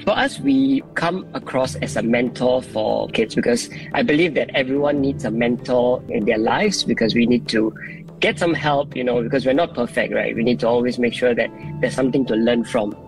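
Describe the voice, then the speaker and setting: A man's voice: mid-range voice, normal speed, vocal fry, poor audio. A man, explaining his work as a guest in a radio interview. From Singapore. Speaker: coach, phone-in guest. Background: music bed.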